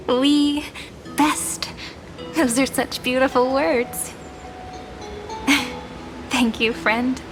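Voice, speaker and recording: A tender, wistful young woman from a story-driven game, speaking in a soft, earnest and reflective way. Her voice sits a little high. A game score plays clearly beneath her. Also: fairly even intonation, extremely slow, long pauses, faint music behind, heavily acted. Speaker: game character. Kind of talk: game cutscene voice acting.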